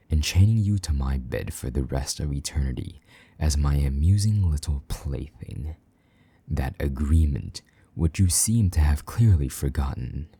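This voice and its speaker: A man playing a possessive, jealous vampire knight in an intimate audio roleplay, haughty and condescending, with a husky voice that slips toward a whisper. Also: very deep voice, dark tone, exaggerated voice. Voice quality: husky, breathy, half-whispered at times. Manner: condescending, slow-burning menace, mock-sweet endearments.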